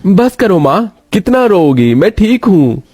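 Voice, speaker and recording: A man's voice: high-pitched, sing-song, measured pace, dark tone, husky, voice pushed up, full character voice. A man voicing an easygoing young auto-rickshaw driver, a husband and son in a story, speaking in a familiar way. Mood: reassuring.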